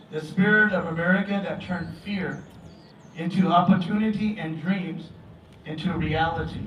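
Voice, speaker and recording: A man in his fifties who grew up in Vietnam and speaks Vietnamese as his first language, giving an earnest, grateful speech at a memorial ceremony. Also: high voice. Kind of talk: prepared public speech. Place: aboard a museum ship, outdoors. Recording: echoey, distant, dull PA sound, noisy.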